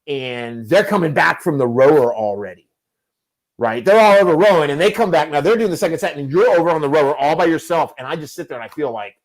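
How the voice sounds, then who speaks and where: A man's voice: on the high side, quick pace, poor audio. A man in his fifties telling long, self-mocking stories in a casual chat as host. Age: 50s. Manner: rambling, self-deprecating storyteller.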